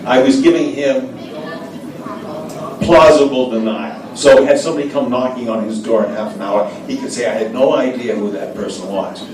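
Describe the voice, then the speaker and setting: A man's voice: mid-range voice, moderate pace. An older American man, a former diplomat, telling anecdotes in an interview, hesitant with frequent fillers. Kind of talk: storytelling interview answers. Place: café event room. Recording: echoey room, distant mic, background noise.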